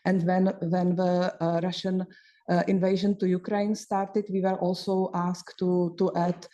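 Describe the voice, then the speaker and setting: Low-voiced woman moderator. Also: level tone, dark tone. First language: Czech. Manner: measured, steering the discussion. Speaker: panel moderator. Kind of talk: panel discussion.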